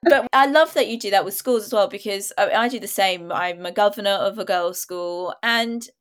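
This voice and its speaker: A woman hosting an interview, with a loud and lively way of talking. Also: medium pitch, moderate pace. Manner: loud, lively, asks long questions. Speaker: interview host. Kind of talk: conversational interview.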